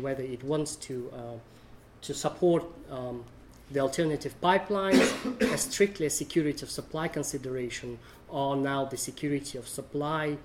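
Male panelist, hesitant delivery, middle-range voice, faint background hiss. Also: medium pitch. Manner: halting, searching for words. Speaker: panel speaker. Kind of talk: academic panel talk.

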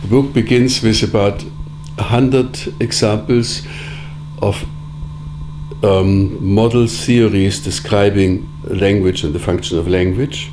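Measured low-voiced man, noisy background hum. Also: steady tone. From Germany. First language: Sorbian. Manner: measured, deliberate, with frequent pauses and fillers. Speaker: interviewee, editor of philosophical writings. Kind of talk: reflective interview answers.

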